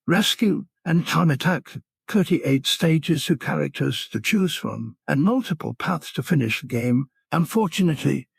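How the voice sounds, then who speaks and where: A man's voice: fairly high pitch, vocal fry, husky, no echo. A man reading a video game preview aloud.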